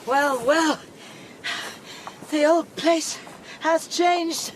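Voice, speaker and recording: An actress playing a dying young woman in a radio drama, weak and halting but wryly defiant. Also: sits high, slight background noise. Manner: wry, halting. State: weak, struggling to keep going.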